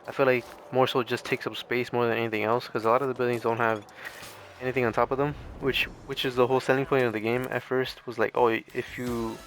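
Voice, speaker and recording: A man's voice: mid-range voice, little pitch movement, fast, a bit bright, a little hiss or hum. A man doing casual gameplay commentary in an informal, rambling, hesitant way, his voice slightly strained as if starting to give out. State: losing his voice, mildly strained. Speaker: gaming video creator.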